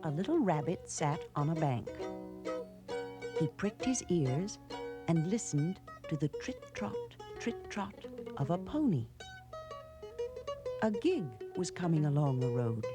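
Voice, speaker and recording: A woman reading a children's storybook in a measured, expressive storytelling manner. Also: low-pitched, over music. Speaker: storybook narrator. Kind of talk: scripted children's storybook narration.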